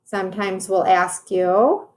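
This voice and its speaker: Slow-paced woman reading to children. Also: mid-range voice. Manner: explanatory, addressing young children directly. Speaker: teacher reading aloud. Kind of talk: children's storybook read-aloud with explanations.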